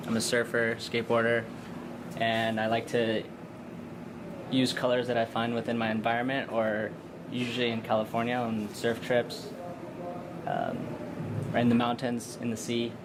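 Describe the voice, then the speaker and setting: Flat-voiced male painter, loud hum. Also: mid-range voice, normal speed. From Los Angeles. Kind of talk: casual self-introduction.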